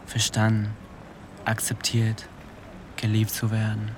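An actor playing a solemn voice, reciting terse poetic phrases in a radio play. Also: male voice, fairly low pitch, monotone, takes it slowly. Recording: clear ambient noise.

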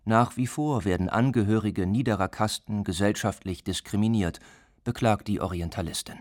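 A man reading a scripted report as a reporter, even and measured. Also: fairly low pitch, no echo, clean studio sound. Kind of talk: scripted narration.

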